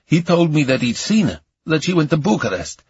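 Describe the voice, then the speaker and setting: Man playing a weary, blunt young drifter, husky. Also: on the high side, very animated, a little fast, darkish tone, no echo, highs cut off, pitched above the performer's own voice, full character voice. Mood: weary, bitter. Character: broke, sluggish, sardonic young male drifter on the phone. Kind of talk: audiobook character dialogue.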